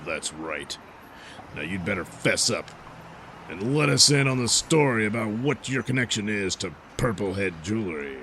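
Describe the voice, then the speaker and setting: A man's voice: on the low side, animated, moderate pace, fairly bright tone, a little hiss or hum, pitched below the performer's own voice. A man playing a pompous, short-tempered bungling superhero, bossy and foul-mouthed, in a comedic radio serial. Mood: smug, commanding. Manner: self-important, blustering, easily exasperated.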